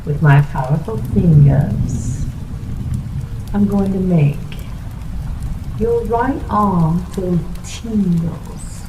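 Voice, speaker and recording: A woman playing a playful, boastful, slightly crazy witch hypnotist in an ASMR roleplay, speaking in a slow, coaxing, teasing manner. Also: lowish voice, dark tone. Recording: noisy recording in echoey room.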